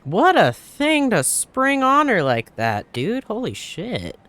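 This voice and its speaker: A woman hosting a gaming video, giving casual, expressive and slangy reaction commentary. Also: medium pitch, slow. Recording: game music behind the voice.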